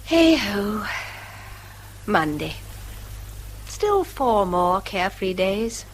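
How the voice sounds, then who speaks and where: An actress playing a weary, wistful English wife with a defiant streak, in an emphatic British radio drama style. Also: female voice, mid-range voice, big pitch swings, drawn-out words, a little hiss or hum, exaggerated voice. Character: weary wife Mary, wistful, quick to defy her husband.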